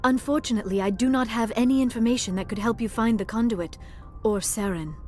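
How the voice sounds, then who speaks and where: A woman's voice: a little high, fairly quick, darkish tone, natural voice. A woman playing an earnest, bookish young alien scientist in a video game, speaking formally and precisely. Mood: grateful, apologetic. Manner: formal, precise wording with few contractions. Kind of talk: video game cutscene acting. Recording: music behind the voice.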